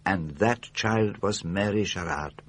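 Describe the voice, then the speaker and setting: An actor playing an older Belgian detective, shrewd and patient, speaking formal, precise English with a Belgian French accent in a radio drama. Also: male voice, sits low, a bit bright, slight background noise. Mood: calm, insistent. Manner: precise, formal, deliberate questioning.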